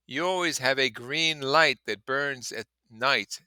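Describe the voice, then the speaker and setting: Man acting an effusive, high-pitched wealthy gentleman. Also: slow, clear, resonant tone, no room sound. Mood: wistful. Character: dazed, giddy wealthy gentleman in love, genteel storybook hero.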